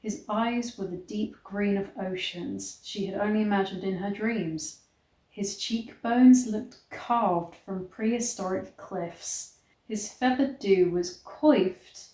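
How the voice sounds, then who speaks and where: A woman reading a romance story aloud in a dramatic, expressive way, stretching words for emphasis. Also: medium pitch. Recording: echoey, roomy sound.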